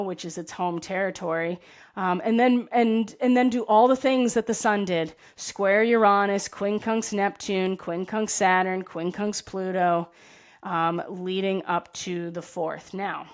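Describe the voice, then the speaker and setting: Woman with a raspy voice, rambling forecast. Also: medium pitch, steady tone, a little slow. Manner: rambling, self-correcting, frequent "right?" and "you know". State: tired voice. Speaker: astrology forecaster. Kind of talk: casual monologue forecast.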